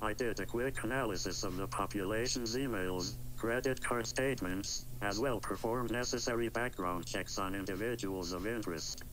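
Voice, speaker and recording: Low, noisy man as an all-knowing computer oracle. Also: normal speed, few pauses, lightly acted. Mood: cold, ominous. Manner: flat, clipped, clinical statements. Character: cold, all-knowing supercomputer oracle, ominous and matter-of-fact. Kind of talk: analog horror web series.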